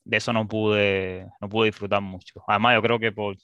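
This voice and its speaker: Hesitant bright-voiced man. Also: on the low side, little pitch movement, normal speed, smooth, no echo, muffled recording. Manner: hesitant, many restarts and fillers. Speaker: student guest. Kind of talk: casual conversation.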